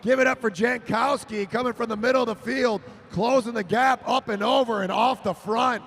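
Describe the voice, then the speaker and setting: A man calling a track cycling race live, rising to shouts as the sprint builds. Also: extremely high pitch, moderate pace. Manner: excited, shouting names over the finish. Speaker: race announcer. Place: velodrome over a PA.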